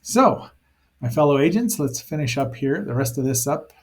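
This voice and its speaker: A man's voice: fairly high pitch, expressive, normal speed. A man hosting a casual review show, rambling and thinking aloud with playful asides as he comments on a cartoon.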